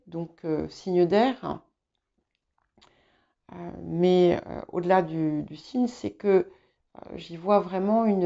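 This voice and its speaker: A woman's voice: fairly low pitch, creaky, a little mellow. A woman whose first language is English, giving an informal tarot reading in a hesitant, reassuring manner, often searching for words. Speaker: tarot reader hosting guidance videos. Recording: slightly dull, muffled sound.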